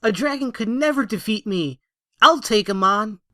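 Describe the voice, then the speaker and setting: A woman voicing a shy, earnest young orphan pony boy who speaks in a halting, stiff way. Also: fairly high pitch, lively intonation, a bit bright, dry recording, clean studio sound. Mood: playful. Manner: halting, stiff childlike speech.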